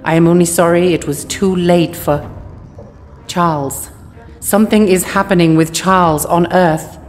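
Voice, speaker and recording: A regal alien empress, played by a woman, low-voiced, formal and clipped. A long reverb trails her words, with faint music behind. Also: fairly even intonation, measured pace, natural voice. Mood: stern.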